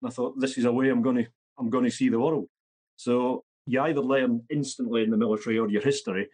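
A man's voice: medium pitch, fairly even intonation, quick pace, a little mellow. A Scottish man in his fifties, a podcast guest talking bluntly and matter-of-factly. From Scotland. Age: 50s. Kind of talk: podcast interview.

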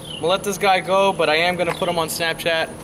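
A man's voice: on the high side, flat delivery, fast talker. A young man talking casually to camera while fishing by a river, explaining his baits with plenty of fillers, on a lapel mic. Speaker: fishing vlogger. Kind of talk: casual outdoor fishing vlog. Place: outdoors on a riverbank. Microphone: clip-on lapel mic. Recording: outdoor noise behind.

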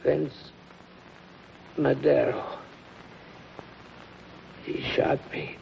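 An actor playing a dying, middle-aged crime boss, bitterly vengeful and laboring for breath, in a 1950s television drama. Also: male voice, mid-range voice, flat delivery, extremely slow, creaky, a little mellow, heavily acted. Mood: weak, vengeful. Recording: old muffled, slightly noisy film soundtrack.

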